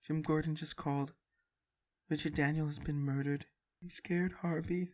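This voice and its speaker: A man voicing an anxious, tender young wife in an audio drama, soft and pleading. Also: on the high side, fairly even intonation, normal speed, voice pushed up, close to an everyday voice. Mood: frightened.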